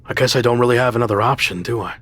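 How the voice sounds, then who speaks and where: A determined underdog wrestler in a video game story. His voice is husky and rough at a middling pitch, with a stubborn, defiant edge. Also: rapid-fire, fairly bright tone. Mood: defensive, determined.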